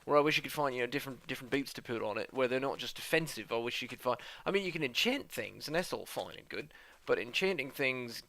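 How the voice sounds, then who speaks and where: A man's voice: mid-range voice, animated, fast talker, vocal fry, few pauses, sharp tone. A man putting on a jokey mock-Australian drawl. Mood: playful. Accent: put-on Australian, based on a New Zealand acquaintance. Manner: playful, self-mocking, rambling. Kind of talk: let's-play commentary.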